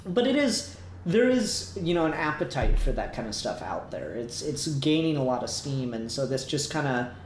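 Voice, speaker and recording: A man's voice: mid-range voice, sing-song, normal speed. A man co-hosting a casual review discussion, rambling a little as he thinks aloud. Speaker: podcast co-host. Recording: roomy echo, faint background noise.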